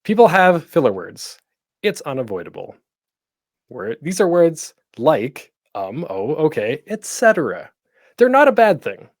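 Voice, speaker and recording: A man hosting an informal online tutorial, talking in a chatty, playful way with deliberate pauses for emphasis. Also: a little high, huge pitch swings, no room sound, clean studio sound. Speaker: panel host and podcasting instructor.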